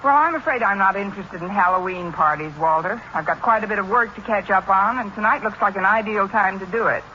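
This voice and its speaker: An actress playing a wry, wisecracking middle-aged schoolteacher with dry sarcastic delivery in a 1940s radio sitcom. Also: female voice, mid-range voice, a little fast, pushed and bright, natural voice. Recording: dull, noisy 1940s radio recording.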